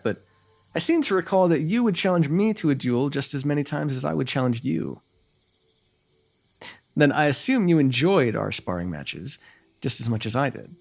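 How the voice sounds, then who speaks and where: A man's voice: medium pitch, creaky, breathy edge, full character voice. A man playing a teasing, lovestruck royal ruler with courtly, formal phrasing in an ASMR fantasy roleplay. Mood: playful, admiring.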